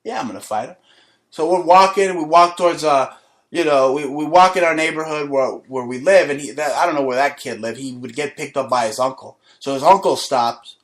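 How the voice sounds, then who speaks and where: A man hosting a talk show, ranting aggressively with lots of swearing and telling a boastful story from his school days. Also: on the high side. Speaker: show host. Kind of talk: profane comedic rant and storytelling.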